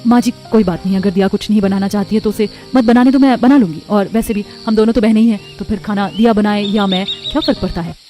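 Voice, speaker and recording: A woman voicing a gentle, dutiful young woman in a cartoon story, meek and conciliatory. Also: medium pitch, steady tone, fast talker, hardly any pauses, faint music behind, close to an everyday voice. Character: gentle, self-sacrificing, dutiful young elder sister. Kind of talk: Hindi cartoon story voice acting.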